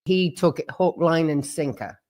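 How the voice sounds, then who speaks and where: A man's voice: on the high side, normal speed, a little mellow. A man in his sixties giving plain, frank personal testimony about his childhood. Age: 60s. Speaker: former member telling his story.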